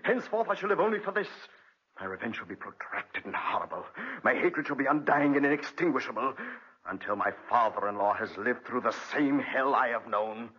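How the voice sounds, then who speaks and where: A man's voice: mid-range voice, animated, brisk, husky. An actor playing a vengeful, grief-maddened man in 1940s radio drama style, grave and theatrical. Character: vengeful, grief-maddened man, escaped debtor turned obsessive avenger. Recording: muffled, dull 1940s radio recording.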